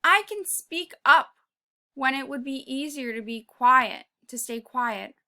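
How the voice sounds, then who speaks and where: A woman reading a children's picture book aloud, pausing to talk to young listeners in an encouraging way and sometimes dropping to a whisper. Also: high voice, animated. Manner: drops to a whisper at quiet moments. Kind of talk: read-aloud with commentary for kids.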